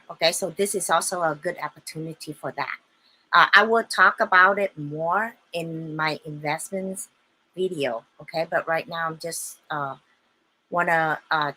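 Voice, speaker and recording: A woman explaining a home business in a casual video, halting with many fillers and checking in with "okay?", a bit hoarse with a small cough. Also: deep voice, sharp tone, poor audio. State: slightly hoarse with a little cough. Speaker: online business presenter.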